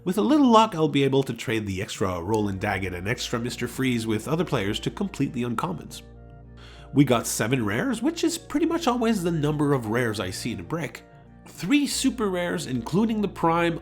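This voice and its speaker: A man's voice: medium pitch, wildly swooping pitch, normal speed. A man hosting an unboxing review, explaining game pieces in an upbeat, enthusiastic way. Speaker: tabletop game unboxing host. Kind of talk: upbeat hobby review narration. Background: background music.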